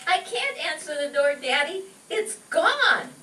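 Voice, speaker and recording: Distant roomy recording, little girl, very high pitch, childlike, giggly, cheeky, old-time radio comedy. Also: big pitch swings, a little slow, sharp tone, a little hiss or hum, exaggerated voice. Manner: childlike, giggling, naive questioning.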